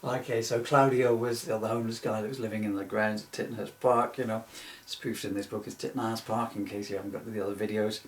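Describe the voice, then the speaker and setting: A man's voice: lowish voice, little pitch movement, fast talker, a little hiss or hum. A man giving an informal comic reading, rambling with asides and prone to giggling at his own material. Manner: rambling, often breaking into giggles at own jokes. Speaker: author reading his parody book. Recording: roomy echo, distant microphone.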